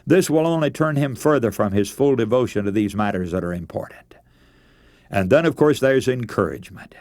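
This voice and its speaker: A man's voice: mid-range voice, no echo. An American man preaching on the radio, speaking directly to listeners in an earnest, emphatic, admonishing manner. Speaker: radio preacher and broadcast host.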